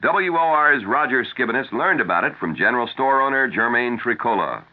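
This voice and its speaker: A man reading scripted news and ad copy as a radio announcer, in a measured, formal style. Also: mid-range voice, big pitch swings. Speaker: radio newscaster. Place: radio studio. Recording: tinny, narrow-band 1970s radio broadcast.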